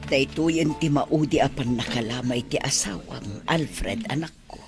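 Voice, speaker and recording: A woman's voice: rumbling low, over music. Tearful Ilocano mother in a radio drama. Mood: sorrowful, consoling. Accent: Filipino, Ilocano. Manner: tearful, halting, emotional delivery. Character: grieving, tender mother of a grown son.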